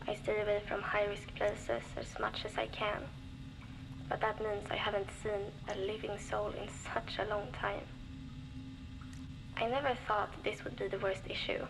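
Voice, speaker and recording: A woman playing a gravelly, lonely wasteland survivor. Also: medium pitch, flat delivery, moderate pace, music in the background, natural voice. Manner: weary, blunt, swears often, dry gallows humour. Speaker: game character recording audio diaries. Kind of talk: scripted voice acting as a diary log. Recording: noisy cassette tape recording.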